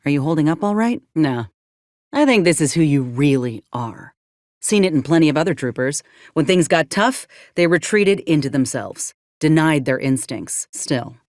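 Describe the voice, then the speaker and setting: A woman's voice: low-pitched, animated, normal speed, darkish tone, dry recording, clean studio sound. An actress playing a frank, caring young woman soldier in a game, earnest and plain-spoken with folksy turns of phrase. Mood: earnest, gentle, then stern, wary. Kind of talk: video game companion dialogue.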